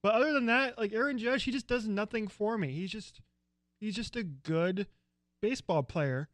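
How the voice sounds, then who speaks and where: A man's voice: sits high, moderate pace, a bit bright, no room sound. An American man co-hosting a casual sports podcast, opinionated and rambling, grumbling dryly about teams he dislikes. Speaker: co-host.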